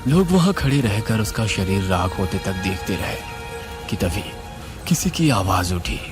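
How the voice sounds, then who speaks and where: A man's voice: on the low side, big pitch swings, measured pace. A man narrating a scripted horror story in a suspenseful, theatrical way. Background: music.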